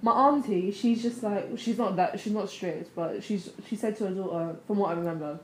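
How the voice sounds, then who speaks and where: A woman's voice: mid-range voice, normal speed. A young woman with a London accent, a guest in a casual chat, earnest and opinionated with many fillers. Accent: London English. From England. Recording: echoey room with faint noise.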